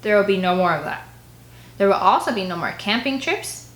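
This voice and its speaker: A woman voicing a stern, authoritative dad in an audiobook, speaking in a measured, firm way. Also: mid-range voice, expressive, a bit bright, reverberant, lightly acted. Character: stern, authoritative father, government man.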